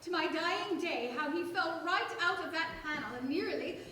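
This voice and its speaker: A woman's voice: very high-pitched, animated, moderate pace, bright, forceful tone, full character voice. A woman playing a haughty, melodramatic chatterbox lady in a staged radio play, theatrical and indignant, recorded echoey and distant with background noise.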